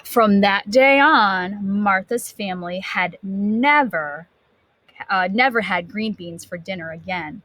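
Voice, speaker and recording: A woman's voice: mid-range voice, dry recording. A woman teacher reading a picture book aloud to young children expressively, stopping to put questions to her listeners. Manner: expressive, dramatic gasps and emphatic drawn-out words.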